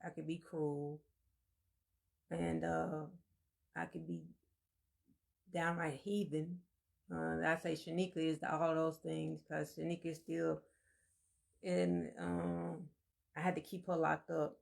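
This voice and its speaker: A soft-spoken woman, co-hosting a casual live-stream chat and telling her story in a meandering, reflective way. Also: low-pitched, slow, gravelly, long pauses.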